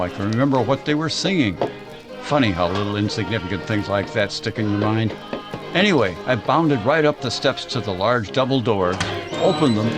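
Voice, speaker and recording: A male actor as a man looking back on his small-town boyhood in an old-time radio drama. His pitch is on the low-to-middle side and moves freely, with hesitant conversational asides over music. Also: lightly acted. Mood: urgent reminiscence. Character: man fondly narrating a boyhood memory of a small-town tragedy. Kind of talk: recreated 1940s radio drama narration.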